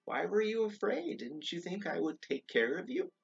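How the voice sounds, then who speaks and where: Storybook holy teacher played by a man, gently chiding and reassuring, with a high-pitched, slightly husky delivery. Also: sing-song, normal speed, lo-fi sound, heavily acted. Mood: gentle reproach.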